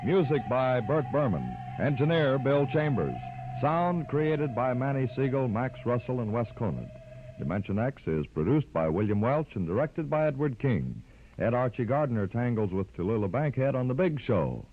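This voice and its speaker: A man's voice: medium pitch, sing-song, normal speed. A man announcing scripted credits in the style of 1950s network radio. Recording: vintage radio broadcast, phone-like band, loud music. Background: loud music.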